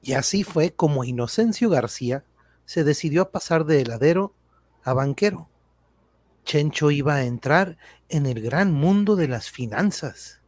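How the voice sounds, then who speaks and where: A man's voice: on the high side, expressive, a little slow, darkish tone, no echo. A man reading scripted narration for a radio drama. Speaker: narrator and reader.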